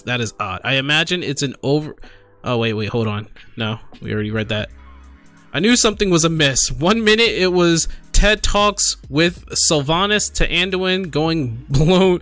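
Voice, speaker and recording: Male gaming streamer, bright forceful tone, very lively pitch, casual exasperated commentary. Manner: exasperated, incredulous, emphatic with repetition. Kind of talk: casual commentary reading forum posts aloud.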